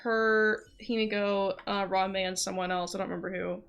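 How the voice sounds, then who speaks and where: Bright, creaky-voiced young woman streaming games. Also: mid-range voice, flat delivery, moderate pace, lo-fi sound. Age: young adult. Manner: rambling, chatty, giggly. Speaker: game streamer. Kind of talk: casual livestream chat while gaming. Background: game music and voices.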